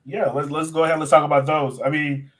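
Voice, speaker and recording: A man with a slightly high voice hosts a casual sports podcast. He talks conversationally, like someone chatting through fixtures with fellow fans. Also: flat delivery, fast, fairly bright tone. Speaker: soccer podcast host.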